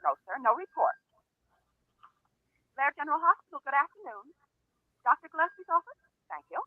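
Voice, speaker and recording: An actress playing a brisk, busy hospital nurse at a switchboard in a 1950s radio drama, polite and clipped, on a vintage recording. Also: sits high, big pitch swings, no room sound, narrow, tinny audio.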